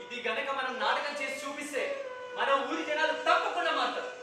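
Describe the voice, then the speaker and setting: A man plays a defiant young director rallying his village troupe in a live stage drama. His voice is high and quick, earnest and rousing. It reaches a distant mic through a very echoey hall, with music in the background. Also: noisy background. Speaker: stage actor. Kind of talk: live stage play acting. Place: theatre stage.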